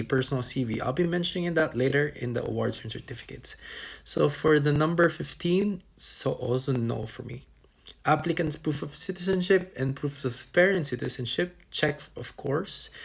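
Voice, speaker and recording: A man's voice: mid-range voice, gravelly. A young man from the Philippines walking viewers through a scholarship document checklist item by item, in a casual explanatory way. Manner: talks through a checklist item by item, with restarts and fillers. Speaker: vlogger giving scholarship application advice.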